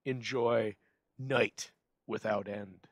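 A man's voice: lowish voice, steady tone, drawn-out words, dry recording. Theatrical male presenter, very dry sound. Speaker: presenter introducing an old radio show. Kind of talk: scripted, dramatic narration.

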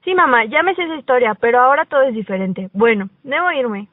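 A woman's voice: fairly high pitch, lively intonation, fast, no room sound, narrow, tinny audio. A woman playing a teenage schoolgirl who narrates her own story in a radio drama. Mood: calm, storytelling. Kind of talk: first-person radio drama narration and dialogue.

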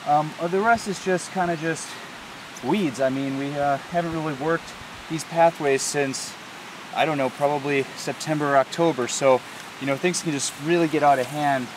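A man's voice: fairly high pitch, normal speed. A man in his thirties talking informally and plainly, explaining things like a farmer hosting a casual vlog. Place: outdoors on a farm. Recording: clear background noise, hiss.

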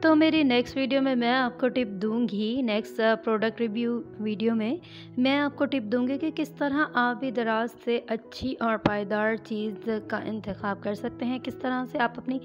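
Woman, casual home product review, chatty with hesitant fillers, high-pitched, music playing under. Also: normal speed, highs cut off. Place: home, indoors.

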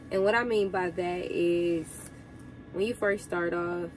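A young woman truck driver giving casual advice to her viewers, sounding congested from a cold, chatty and relaxed. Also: lowish voice, slow, slight background noise, poor audio.

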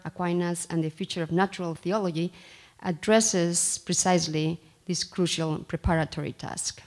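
A woman in her thirties giving a formal introduction at a podium microphone in a small room, in a measured, slightly halting way. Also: on the low side, darkish tone. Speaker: faculty member introducing the speaker. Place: small lecture room, indoors.

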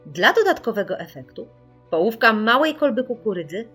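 A woman reading a children's story in an expressive, theatrical storytelling manner. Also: fairly high pitch, moderate pace, slightly rough, faint music behind. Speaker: audiobook narrator.

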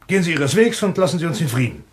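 An actor playing a suspicious, blunt man with a sharp, mocking manner in a German thriller radio play. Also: fairly high pitch, very animated, racing. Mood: sardonic warning. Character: suspicious, sardonic, blunt brother of the host.

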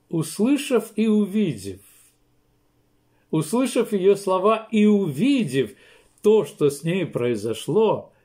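An older man, a pastor, preaching a sermon slowly and emphatically from a small room, with pauses and restarts. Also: fairly high pitch, vocal fry, warm tone. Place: small room indoors, seated in armchair.

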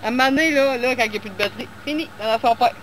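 A woman's voice: on the high side, low-quality recording. A woman with a Quebec French accent, animatedly telling friends a story about her grandson at a campground. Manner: animated storytelling, quick asides. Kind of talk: casual chat among friends. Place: campground outdoors. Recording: loud crowd and outdoor noise.

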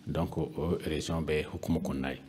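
A man's voice: extremely low pitch, fairly even intonation, moderate pace, darkish tone, slight background noise. A man in his fifties with a Malian accent, explaining as a panel guest in a hesitant way, often repeating words. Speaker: regional council official, panel guest. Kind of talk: panel discussion, explaining in Bambara mixed with French. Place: indoor panel room. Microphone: handheld microphone with foam cover.

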